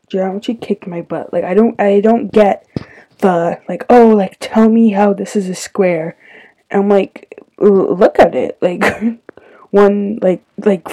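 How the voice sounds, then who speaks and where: A teenage girl hosting her own podcast, sounding stuffy and tired, rambling with lots of fillers in a soft, confiding way. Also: medium pitch, vocal fry, breathy edge, dry recording. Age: teens. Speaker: podcast host. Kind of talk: casual solo podcast talk.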